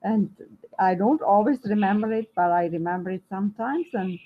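A woman's voice: mid-range voice, normal speed. A woman from Austria with an Austrian German accent, introducing herself hesitantly in a class, with many restarts. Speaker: class participant. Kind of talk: casual spoken introduction. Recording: muffled lo-fi call line with faint noise.

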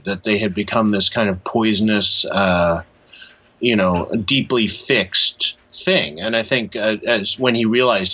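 A man speaking as an interview guest, telling a story conversationally in a thoughtful, halting way with pauses. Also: lowish voice, sharp tone, muffled recording.

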